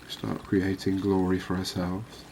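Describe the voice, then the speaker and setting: A man giving relaxed, unhurried, musing ASMR-style gameplay commentary, chatting to his viewers. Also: low voice, a little hiss or hum. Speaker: host of a cozy fishing game channel.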